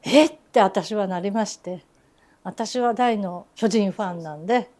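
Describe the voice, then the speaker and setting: A woman's voice: medium pitch, measured pace, a little mellow. A woman who runs a teahouse and works as a geisha, telling fond stories in an interview with frequent laughter. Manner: chatty storyteller, fond, often laughing. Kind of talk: reminiscing interview chat.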